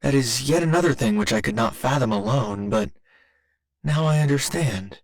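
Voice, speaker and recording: A man voicing a gentle robot boyfriend in an ASMR roleplay, with formal, clinical phrasing delivered tenderly and a little hesitantly. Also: medium pitch, lively intonation, normal speed, close to an everyday voice. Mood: tender, earnest. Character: gentle male robot boyfriend learning to feel love.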